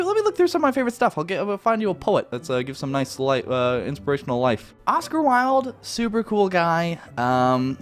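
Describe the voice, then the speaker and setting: Young canadian dude rambling thru viewer questions, really bouncy pitch, soft music under it. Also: male voice. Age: young adult. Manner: rambling, self-correcting, laid-back. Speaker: YouTuber answering viewer questions. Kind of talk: casual Q&A chat.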